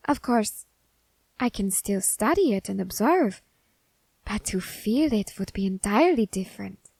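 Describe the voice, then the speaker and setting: Curious, menacing Grim Reaper, voiced by a woman, slow and measured. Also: medium pitch, lively intonation, vocal fry, a little mellow, slightly rough, no room sound, full character voice. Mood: intrigued, musing. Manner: measured, formal, deliberate phrasing with pauses. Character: curious, scholarly, quietly menacing Grim Reaper studying a demon. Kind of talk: ASMR character roleplay audio drama.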